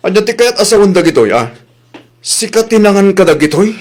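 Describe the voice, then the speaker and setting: Casting: male actor as fed-up Ilocano husband, high voice, accusing and scolding, comedy radio drama. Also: sing-song, fairly quick. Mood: angry, suspicious. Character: angry husband whose savings were spent.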